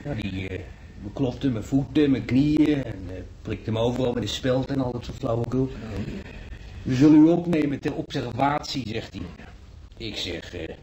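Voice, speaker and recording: A man playing a folksy, grumbling market trader in a radio play, speaking in a halting, plaintive, colloquial way. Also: mid-range voice, lively intonation, mellow, highs cut off, a little hiss or hum, close to an everyday voice. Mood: weary, grumbling. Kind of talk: Dutch radio drama acting.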